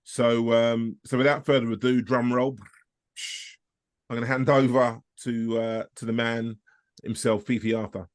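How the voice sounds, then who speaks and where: A man hosting an online community event on a video call, introducing the session in a halting way and repeating words often. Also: mid-range voice, level tone, no echo, clean studio sound. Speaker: host of a data community event.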